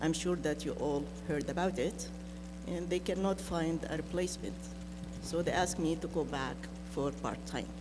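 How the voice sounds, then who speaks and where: A woman's voice: low-pitched, moderate pace. Older woman, Egyptian accent, informal panel speaker, noticeable background hum. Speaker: nuclear engineer, panelist. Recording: noisy room with clear hum.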